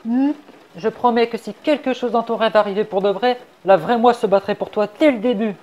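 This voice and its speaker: A woman's voice: a little high, fairly quick, a little mellow, close to an everyday voice. A woman voicing a spirited young rabbit. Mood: earnest. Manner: direct, fondly exasperated. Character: spirited young female rabbit, caring and blunt. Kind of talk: fan dub of a comic.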